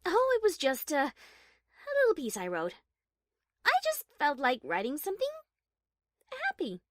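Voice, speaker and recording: A woman's voice: high voice, wildly swooping pitch, measured pace, dry recording, clean studio sound, full character voice. A woman playing a refined, bashful young female musician pony in an audio story, polite and earnest. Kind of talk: acted audio story dialogue.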